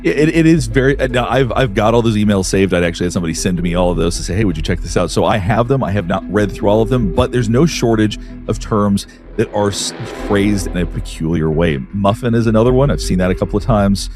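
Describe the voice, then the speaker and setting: A man in a casual discussion, speaking in a halting way and restarting his sentences. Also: fairly low pitch, music in the background.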